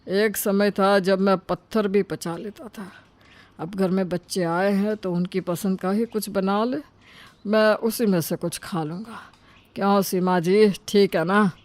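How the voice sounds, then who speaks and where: A woman voicing a mild elderly father-in-law, gentle and reasoning, in an animated family story. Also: medium pitch, a little slow, lightly acted. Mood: easygoing.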